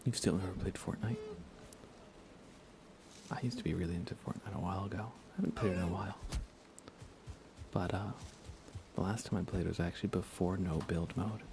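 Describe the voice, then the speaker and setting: Low-pitched man, soft-spoken to whispery, creaky, casual ASMR game streamer, noisy background ambience. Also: fairly even intonation, a little fast, pauses often. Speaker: livestreaming gamer. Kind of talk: ASMR gaming chat, casual and hushed.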